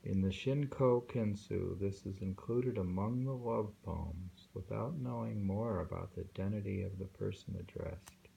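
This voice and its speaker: A man reading poems aloud and commenting casually in a slow, musing way, with thoughtful hums. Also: on the low side, creaky, warm tone, lo-fi sound, a little hiss or hum. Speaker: reader of translated poetry.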